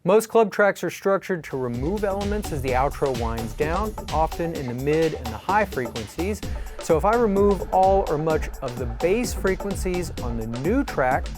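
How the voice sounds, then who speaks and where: Calm man explaining DJ techniques. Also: fairly high pitch, normal speed. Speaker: DJ instructor. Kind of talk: tutorial narration. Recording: faint music behind.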